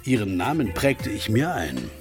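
Low, lively, mild-mannered man. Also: a little slow, over music. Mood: curious. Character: curious, mild-mannered male narrator and hotel guest. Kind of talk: German satirical radio play acting.

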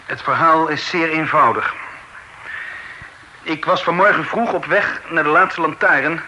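An actor playing an accused gentleman in an old radio play, pleading and indignant as he protests his innocence. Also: fairly high pitch, a little slow, poor audio. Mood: earnest. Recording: hissy old radio recording.

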